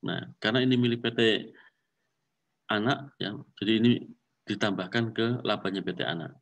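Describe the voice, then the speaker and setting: Low-voiced man giving a methodical lecture. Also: a little fast, creaky, far from the mic. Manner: methodical, repeats figures and terms, mixes in English accounting terms. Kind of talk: accounting lecture walkthrough.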